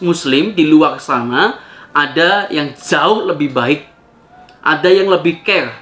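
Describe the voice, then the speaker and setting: A man with a fairly high voice. His tone is bright and sharp. He hosts a commentary video, talking directly to viewers and putting questions to them in a conversational way. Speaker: video host. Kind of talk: spoken rebuttal commentary to viewers.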